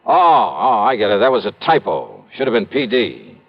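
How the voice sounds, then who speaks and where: A man's voice: fairly low pitch, big pitch swings, moderate pace. An American man playing a confident, hard-boiled insurance investigator in a vintage radio drama, wry, clipped and casual. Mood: brisk, curious. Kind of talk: 1950s-60s radio detective drama, first-person narration. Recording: dull vintage radio recording, music behind.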